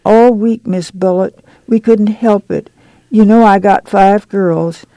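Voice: mid-range voice, a little slow, vocal fry, dark tone, dry recording, voice pushed up. A woman voicing a meek, flustered, poor rural mother with a whining, apologetic, folksy way of speaking in a story. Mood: flustered, pleading. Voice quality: whining, bleating.

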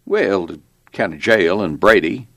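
A man voicing a belligerent, awkward rural cop in an audiobook, loose diction and a folksy, halting delivery. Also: deep voice, lively intonation, fairly bright tone, full character voice. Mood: smug, insistent. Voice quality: slurred, far from crisp diction.

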